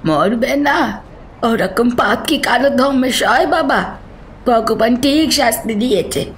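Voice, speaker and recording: A woman's voice: on the high side, animated, slight background noise. A woman acting a superstitious, bitter elderly neighbourhood gossip in an audio drama, with a folksy, emphatic manner. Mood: bitter, vindictive. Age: older. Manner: folksy, drawn-out, emphatic telling.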